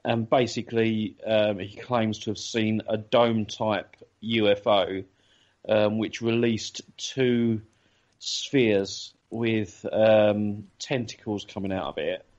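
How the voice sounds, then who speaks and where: A man's voice: on the low side, steady tone, takes it slowly, darkish tone. Hesitant male radio host, lo-fi sound. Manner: hesitant, many ums and restarts. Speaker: podcast host. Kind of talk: casual radio chat. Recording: dull lo-fi audio.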